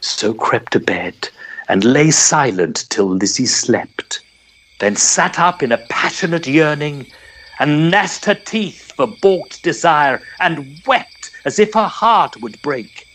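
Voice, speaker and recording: A man narrating a poem in a theatrical, measured storytelling style. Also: fairly high pitch, expressive, fairly bright tone, low-quality recording. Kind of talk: dramatic poetry reading, storytelling.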